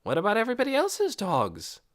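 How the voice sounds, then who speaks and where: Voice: medium pitch, huge pitch swings, fairly quick, voice pushed up. A narrator voicing a cautious, practical grown woman in an audiobook, skeptical and wry. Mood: questioning.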